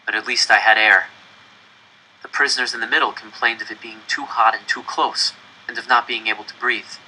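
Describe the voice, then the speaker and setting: A man narrates a novel in the first person with a sharp, intensely bright voice at an average pitch. His delivery is dramatic and deliberate, shaped as expressive storytelling. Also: slight background noise, rough recording. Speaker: audiobook-style reader.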